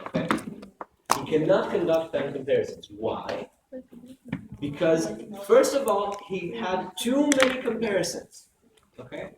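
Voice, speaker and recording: A hoarse male lecturer teaching a class, repeating key points and often checking in with "okay?". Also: high voice, lively intonation, a little slow. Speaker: university lecturer. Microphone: close microphone. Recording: echoey classroom from far off, noisy.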